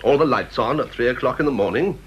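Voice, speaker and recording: A man's voice: mid-range voice, lively intonation, quick pace, lightly acted. An actor playing a stern, proud male government official in a radio drama, clipped and officious. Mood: strained, stiff. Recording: muffled, noisy radio drama recording.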